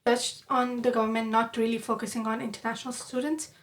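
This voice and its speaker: A woman's voice: fairly high pitch, level tone, quick pace. A young woman who grew up in the Middle East, hosting an interview in an attentive, encouraging way with frequent affirmations. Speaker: podcast host. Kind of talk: conversational interview.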